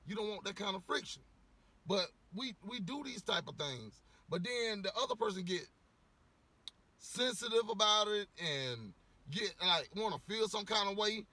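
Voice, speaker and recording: A man ranting casually to camera, a little hoarse from losing his voice, rambling with lots of "you know" and swearing bluntly. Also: high voice, expressive, moderate pace, pushed and bright. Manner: rambling, repeats words, frequent "you know". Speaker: vlogger giving opinions.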